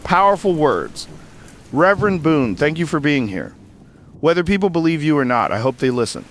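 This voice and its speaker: A man's voice: a little high, sing-song, normal speed, a little hiss or hum. A man playing a weary, earnest survivor hosting a somber radio interview in an apocalypse drama. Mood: somber resolve. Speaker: interviewer, broadcaster. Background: rain and thunder ambience.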